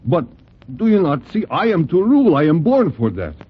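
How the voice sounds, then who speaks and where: An actor playing a naive, earnest exiled king turned boxer, with a foreign accent and halting, stilted English, in 1940s radio style. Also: fairly high pitch, huge pitch swings, husky, exaggerated voice. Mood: troubled, halting. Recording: tinny, band-limited old radio recording.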